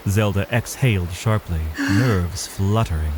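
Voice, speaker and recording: Slow, low-voiced dramatic man narrator. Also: a little mellow, a little hiss or hum. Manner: solemn, theatrical, deliberate phrasing. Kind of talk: dramatic scripted story narration. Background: music.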